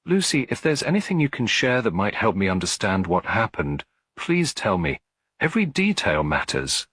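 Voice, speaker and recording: A man voicing a kindly, calm elderly lady detective in an audiobook mystery, gentle and reassuring. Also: fairly low pitch, moderate pace, slightly rough, no room sound, pitched above the performer's own voice, exaggerated voice. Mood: gently coaxing.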